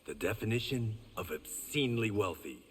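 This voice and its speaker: A man's voice: on the low side, animated, normal speed, a little hiss or hum, lightly acted. An actor playing a tough, wry reformed criminal swordsman with dry, confident banter in a video game. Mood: defiant. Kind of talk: video game fight intro acting. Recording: long hall reverb.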